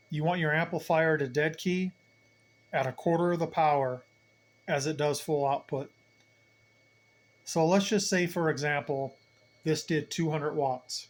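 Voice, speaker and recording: A man giving an unscripted hands-on equipment tutorial, plain-spoken and explaining step by step, repeating key points. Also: on the high side. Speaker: hobbyist demonstrator, channel host.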